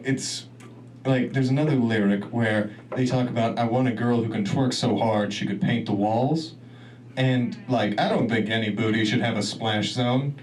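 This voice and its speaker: A man doing a stand-up comedy set in a club, rambling and laughing at his own jokes. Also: mid-range voice. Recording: distant mic, noisy club room.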